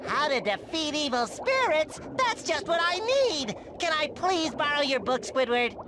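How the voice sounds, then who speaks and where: An actor playing an eager, earnest, boyish sea sponge in a cartoon video game, with an excitable manner. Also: male voice, extremely high pitch, measured pace, background noise, heavily acted. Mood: curious, earnest.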